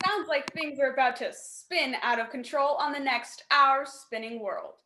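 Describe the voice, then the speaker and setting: A woman's voice: sits high, unhurried, bright, forceful tone, reverberant, a little hiss or hum. A woman announcing programmes and sponsors in the style of an old-time radio show.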